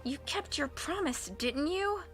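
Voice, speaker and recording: A woman voicing an anxious young boy speaking in a hushed, urgent way. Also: very high-pitched, fairly even intonation, normal speed, faint music behind, pitched above the performer's own voice, full character voice.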